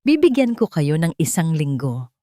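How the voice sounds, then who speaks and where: A resolute wronged woman from a drama story, voiced by a female narrator, lays down terms with cool control. Her voice is low, firm and deliberate, recorded very dry. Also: sing-song, mellow, no echo. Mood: firm, controlled.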